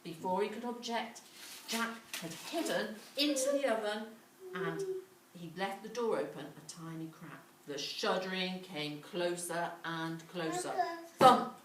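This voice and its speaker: Female children's story reader, unhurried, expressive drawn-out phrasing, recorded a little distant in a reverberant room. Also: on the low side. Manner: dramatic, drawn-out emphasis. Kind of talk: animated children's story reading. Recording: echoey room, distant mic, faint noise.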